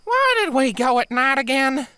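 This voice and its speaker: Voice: high-pitched, sing-song, moderate pace, pushed and bright, clear, resonant tone, pitched above the performer's own voice, heavily acted. A storyteller voicing a nervous, folksy young female earth pony filly in a fantasy audiobook.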